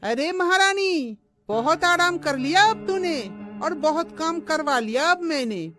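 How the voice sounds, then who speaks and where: A woman's voice: high voice, expressive, a little slow, fairly bright tone. A woman playing a harsh, spiteful middle-aged mother-in-law in a Hindi moral-story cartoon, with a scornful, taunting delivery. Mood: menacing, scornful. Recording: music under the voice.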